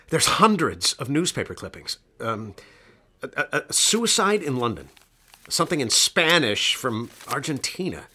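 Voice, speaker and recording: A man's voice: mid-range voice, big pitch swings, a little slow, bright, forceful tone, slightly rough, full character voice. An actor playing a hesitant, earnest, intrigued man in a radio drama, stammering a little as he talks. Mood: intrigued, uneasy. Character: hesitant, intrigued man reading strange files aloud.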